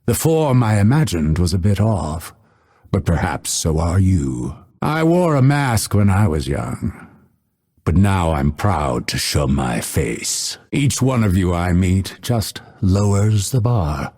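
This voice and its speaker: Game assassin: low, expressive delivery, aloof and scholarly, haughty formal phrasing, husky edge. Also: male voice, heavily acted. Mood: haughty, measured. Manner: measured, formal, haughty, dryly witty. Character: proud, scholarly male assassin, aloof and coolly menacing. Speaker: voice actor. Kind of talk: video game character voice lines.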